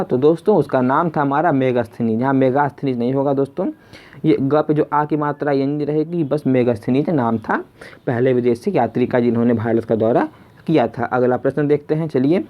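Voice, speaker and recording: A man presenting a spoken exam quiz lesson, conversationally repeating each question before giving the answer. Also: on the high side. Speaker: quiz teacher and video host. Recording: dull lo-fi voice recording.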